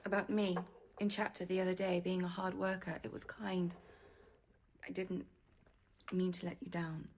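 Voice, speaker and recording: An actress playing a rebellious, wounded sixteen-year-old novice nun in a radio drama. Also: female voice, fairly low pitch, level tone, moderate pace, a little mellow, a little hiss or hum, natural voice. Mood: subdued.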